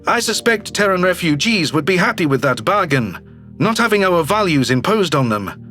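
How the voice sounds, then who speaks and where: A man's voice: sits high, sing-song, normal speed, pushed and bright, soft background music, pitched below the performer's own voice, exaggerated voice. A man voicing a brash, slick alien governor who talks with glib self-assurance, in a sci-fi audio drama. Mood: breezy, confident. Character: brash, slick alien politician, newly elected male governor. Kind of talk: audio drama character reading.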